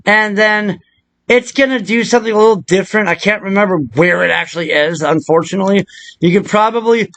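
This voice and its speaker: Guy with a pretty high voice doing a rambly tutorial, swears a lot, jokes around, kinda mutters to himself. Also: a little fast, a bit bright, dry recording. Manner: crude, profane, joking. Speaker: video tutorial host. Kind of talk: rambling casual software tutorial.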